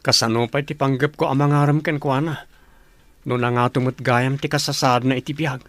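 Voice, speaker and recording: A man's voice: medium pitch, steady tone, fairly quick, fairly bright tone, no room sound, close to an everyday voice. A Filipino man acting in a radio drama as a humble, devoted working man, speaking earnestly. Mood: troubled.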